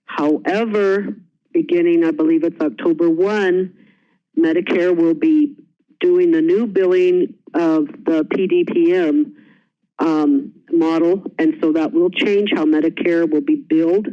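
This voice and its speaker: A woman's voice: lowish voice, steady tone, a little slow. A woman panelist giving unscripted practical advice in a plainspoken, explanatory way. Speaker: nursing home panelist. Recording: dull, band-limited conference call audio.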